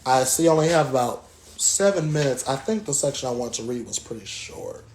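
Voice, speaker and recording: An American man speaks as a guest reader at a book talk. His delivery is thoughtful and halting, broken by frequent pauses. His pitch sits in a middle range for a man, and the style is reflective. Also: animated, background noise. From Southern United States.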